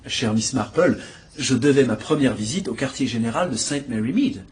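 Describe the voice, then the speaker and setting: An actor playing a courteous young police inspector in a dubbed drama, speaking warmly and respectfully. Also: male voice, mid-range voice, normal speed, a little hiss or hum, close to an everyday voice.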